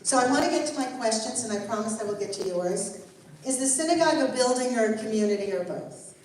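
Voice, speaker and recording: A woman's voice: on the high side, expressive, fast talker, darkish tone. An older woman rabbi leading a Torah study, earnest and encouraging as she teaches the group and answers questions. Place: synagogue hall. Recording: echoey room, mic far off, faint noise.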